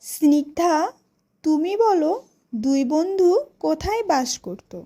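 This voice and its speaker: A woman storyteller with a high, lively voice that swings in pitch. She takes her time, phrasing each line deliberately like a teacher addressing young pupils. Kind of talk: scripted children's story narration and classroom questioning.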